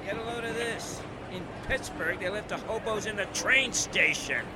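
A man playing a brash, wisecracking young sports reporter in a 1920s audio drama, slangy and excitable. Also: high voice, normal speed, a crowd in the background. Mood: mocking.

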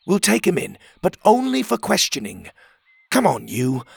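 A man voicing a stubborn, suspicious young male hero in a storybook, speaking bluntly and a bit higher than his own voice. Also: huge pitch swings, normal speed, vocal fry, breathy edge, no echo, studio quality, exaggerated voice. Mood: wary.

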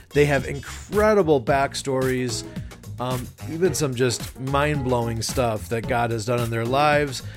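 Guy hosting a podcast intro, chatty and excited, lots of ums, music going behind. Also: mid-range voice. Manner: enthusiastic, chatty with fillers. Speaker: podcast host. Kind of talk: show intro. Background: music playing.

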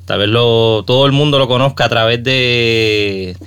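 A man's voice: medium pitch, steady tone, unhurried, a bit bright, clear, resonant tone. A man with a Puerto Rican accent hosting a casual interview podcast, talking in an informal, chatty, slangy way. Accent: Puerto Rican Spanish. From Puerto Rico.

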